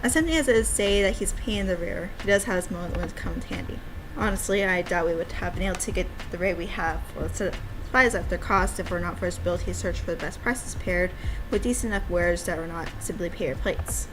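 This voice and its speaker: A woman's voice: lowish voice, quick pace, noisy background. A woman giving casual livestream commentary on her own game, with an enthusiastic, giggly, rambling manner. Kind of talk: casual livestream chat mixed with reading game dialogue aloud.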